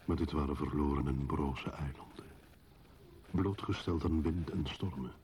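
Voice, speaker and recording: Solitary brooding man, very low pitch, unhurried, solemn, breathy near-whisper, poetic radio monologue, faint noise. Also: a little mellow. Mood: solemn, bleak. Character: brooding solitary man recalling a dead city.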